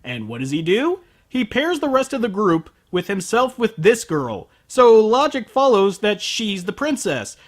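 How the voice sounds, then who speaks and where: A man's voice: high voice, sing-song, unhurried, hardly any pauses. A man delivering a scripted comedic review as host, sarcastic, exasperated and prone to swearing. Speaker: host of an anime review show.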